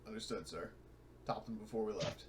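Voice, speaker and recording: Quiet, low-voiced man over background noise. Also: a little fast. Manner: deferential, reserved. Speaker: player as dropship pilot. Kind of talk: tabletop roleplay in character.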